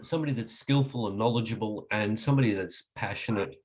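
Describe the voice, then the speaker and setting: A middle-aged man with an Australian accent, husky from a cold, giving an online webinar lecture in a halting way with many restarts. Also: lowish voice. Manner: halting, frequent restarts and fillers. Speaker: webinar presenter.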